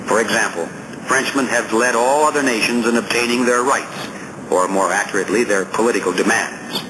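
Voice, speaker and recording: Formal male audiobook narrator, noisy recording. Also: fairly low pitch. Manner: measured, emphatic, formal, deliberate phrasing. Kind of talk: scripted nonfiction narration, oratorical reading.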